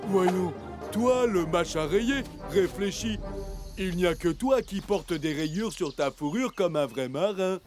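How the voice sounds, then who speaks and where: A man's voice: high-pitched, animated, takes it slowly. A man voicing a sly, teasing villain sidekick critter in a cartoon dub. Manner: mocking, sneering laughs. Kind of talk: French cartoon dubbing. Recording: music under the voice.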